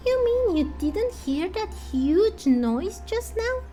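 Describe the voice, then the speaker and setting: A woman voicing a small, excitable, sceptical fairy companion in a game, speaking in an exclamatory way. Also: very high-pitched, takes it slowly, pitched above the performer's own voice, exaggerated voice. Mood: indignant. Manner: exclamatory, indignant, speaks of herself by name. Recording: music under the voice.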